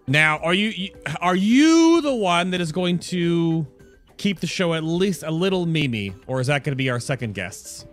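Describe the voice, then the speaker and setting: A man's voice: a little high, sing-song, normal speed. A man hosting a casual gaming show in a chatty way, with joking asides and self-interruptions. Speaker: podcast and stream host. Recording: music playing behind the voice. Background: background music.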